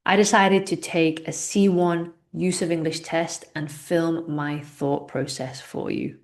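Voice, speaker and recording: A woman's voice: deep voice, fairly even intonation, a little slow, gravelly. A young adult English teacher, a woman from the UK, explaining exam questions as she thinks aloud and corrects herself. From United Kingdom. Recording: echoey room.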